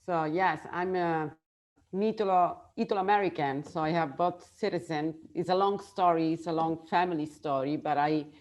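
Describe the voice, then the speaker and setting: A woman with an Italian accent, a native Italian speaker, chatting on a video call and often pausing to search for words. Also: sits low, darkish tone. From Italy. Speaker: guest. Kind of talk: casual video call chat.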